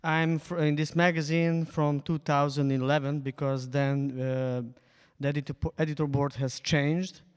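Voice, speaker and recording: A man's voice: on the high side, little pitch movement, measured pace, clear, resonant tone. A man on a festival panel, a magazine editor speaking English in a friendly, lightly joking way. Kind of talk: panel discussion remarks. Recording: reverberant hall.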